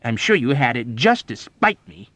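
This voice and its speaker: A man's voice: mid-range voice, expressive, takes it slowly, dark tone, dry recording. A man voicing a belligerent, easily offended army major in an audiobook, indignant and accusatory. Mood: wounded indignation. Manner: indignant, accusatory, badgering, emphatic. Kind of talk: audiobook character acting.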